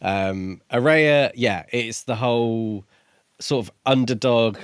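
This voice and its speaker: A man co-hosting a podcast, talking casually about books in halting, thoughtful short phrases. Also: medium pitch, clear, resonant tone, no echo, studio quality. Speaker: podcast co-host. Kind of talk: casual book discussion.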